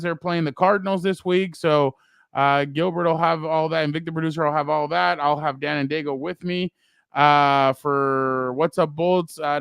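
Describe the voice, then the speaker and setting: A fairly high-voiced man hosts a casual sports show, loud and rambling with frequent laughs. His voice is close and dry, with no room sound around it. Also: smooth, dry recording. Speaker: co-host.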